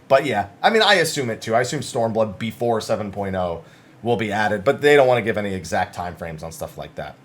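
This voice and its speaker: A man's voice: fairly low pitch, big pitch swings, fairly quick. A man hosting gaming news commentary, talking casually and emphatically as he sums up interviews in an informal, conversational way.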